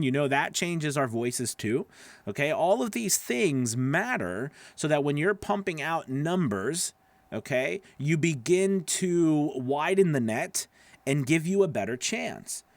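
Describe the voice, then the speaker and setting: A man's voice: fairly high pitch, no echo. A man in his thirties or forties coaching voice actors in an informal, explanatory way, often checking in with the listener, close on a studio microphone. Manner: conversational, explanatory, frequent "right?" and "okay" check-ins. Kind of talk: informal tutorial talk to camera. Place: small home studio with acoustic panels, indoors. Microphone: close broadcast microphone on boom arm.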